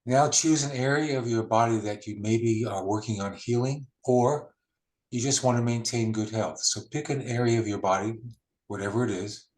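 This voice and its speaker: A man leading a guided healing meditation, speaking slowly and soothingly with long pauses. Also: mid-range voice.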